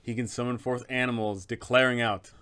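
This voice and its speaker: A man's voice: medium pitch, little pitch movement, brisk, a bit bright, clean tone. A man hosting a game master's guide, narrating in an emphatic, conversational explainer style.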